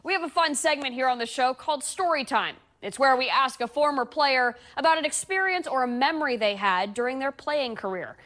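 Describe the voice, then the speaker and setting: A woman's voice: high-pitched, expressive, fairly quick, hardly any pauses, fairly bright tone. A woman in her thirties hosting a sports show, presenting scripted links to camera. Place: indoor TV studio.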